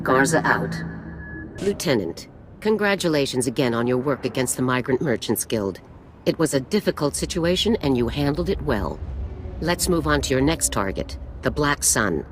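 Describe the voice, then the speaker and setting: A woman's voice: very deep voice, slight background noise. A woman acting a stern middle-aged female general in a video game, clipped, formal and authoritative. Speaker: military commander. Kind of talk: scripted game dialogue.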